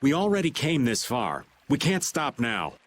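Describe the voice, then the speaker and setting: An animated, concerned game companion. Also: male voice, mid-range voice, normal speed, a little hiss or hum. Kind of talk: video game voice acting.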